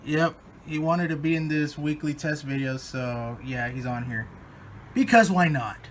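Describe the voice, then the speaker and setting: A man's voice: on the high side, a little slow, slight background noise. A man hosting a hobby video, chatting casually. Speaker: host of a hobby radio-test video. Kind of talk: casual chat.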